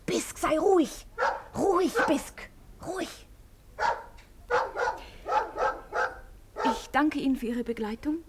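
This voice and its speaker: A spirited young lady for radio drama. Her voice sits a little high, and she talks conversationally, teasing and reproaching with frankness. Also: lively intonation, unhurried, very noisy. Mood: soft, earnest. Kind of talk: German radio drama acting.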